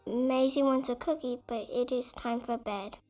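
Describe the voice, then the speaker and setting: A woman narrating a children's picture book in a slow, careful read-along way. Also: fairly high pitch, steady tone, a little mellow. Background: faint added music.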